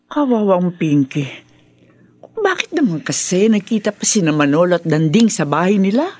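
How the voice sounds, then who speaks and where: A woman's voice: on the low side, very animated, normal speed, a little mellow, husky. An actress playing an anxious middle-aged mother burdened by old guilt in a Filipino radio drama, pleading and exclamatory. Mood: anxious, pitying.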